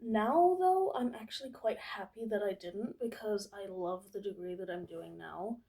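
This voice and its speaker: A woman's voice: mid-range voice, animated, moderate pace, gravelly, dark tone, poor audio. A 22-year-old woman from England, chatting casually to camera as a vlogger, rambling and hesitant with many fillers.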